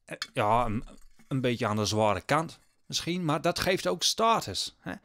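A man's voice: medium pitch, animated, fairly bright tone. Man playing a worried old peasant father. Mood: coaxing, halting. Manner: halting, rustic, exasperated. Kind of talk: Dutch audio drama acting.

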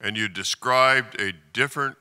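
A man from the United States addresses a conference from the audience. His voice is lowish, very bright and resonant, ringing through the hall. He speaks in a measured, oratorical way, setting out a formal question. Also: clean tone. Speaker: audience questioner, trauma doctor.

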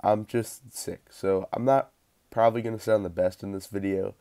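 A man's voice: fairly low pitch, fairly even intonation, normal speed, warm tone. A young man hosting a solo gaming podcast while sick and stuffy, rambling casually with lots of fillers and restarts. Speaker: podcast host.

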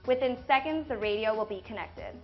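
Female tutorial narrator, higher register, instructional script, music underneath. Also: animated, brisk. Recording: slightly dull, music behind.

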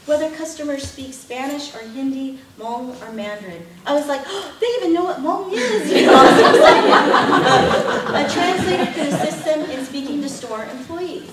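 A middle-aged woman with a fairly high voice leads a classroom workshop, talking animatedly and laughing as she moves around. She is picked up by a distant camera, so her voice carries the echo of the room. Steady background noise runs underneath. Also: moderate pace, hardly any pauses. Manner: animated, gesturing, laughing often. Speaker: workshop presenter. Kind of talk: lively lecture with anecdotes. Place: classroom with projector screen. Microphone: far camera, no visible mic. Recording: echoey room from far off, noisy.

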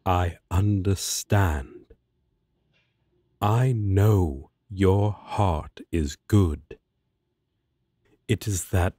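A man's voice: deep voice, darkish tone, no echo, studio quality, heavily acted. A man playing a righteous, protective angel warrior in a fantasy audio roleplay, speaking in formal, grandiose, archaic phrasing. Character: solemn righteous male angel warrior, protective and self-important.

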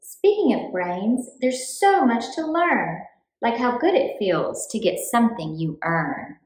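Woman, higher voice, expressive storytime read-aloud, unhurried pacing, roomy sound. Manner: clear, deliberate, animated delivery for young children. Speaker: children's book author reading aloud.

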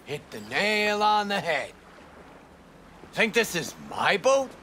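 Swaggering rock star, pitch leaping around. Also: male voice, sing-song, slow pace, bright, forceful tone, a little hiss or hum, heavily acted. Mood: brash. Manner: swaggering, foul-mouthed street slang. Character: brash, volatile aging male rock star. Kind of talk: video game voice acting.

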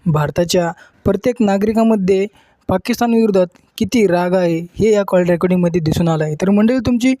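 Mellow-voiced news video presenter, close and dry. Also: medium pitch, fairly quick, dry recording. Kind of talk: scripted narration.

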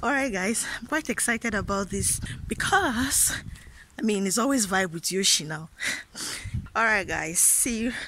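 A young woman from Nigeria holds her phone at arm's length on a quiet street and talks easily to her viewers. Her upbeat, rambling delivery is full of small restarts. Clear background noise sits under the outdoor phone recording. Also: medium pitch, expressive, measured pace, low-quality recording. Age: young adult. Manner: chatty, restarts and fillers, upbeat. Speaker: vlogger. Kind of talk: casual vlog talk to camera.

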